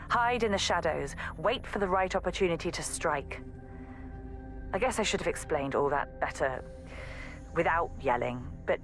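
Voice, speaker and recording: Exasperated young woman assassin, very expressive. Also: fairly low pitch, exaggerated voice. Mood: apologetic, frustrated. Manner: pleading, scolding, emphatic. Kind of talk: dramatic video game audio log.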